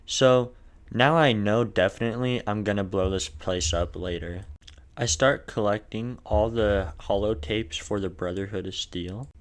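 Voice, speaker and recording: A man with a lisp narrating a video game challenge run in a casual, crude, jokey way. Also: on the low side.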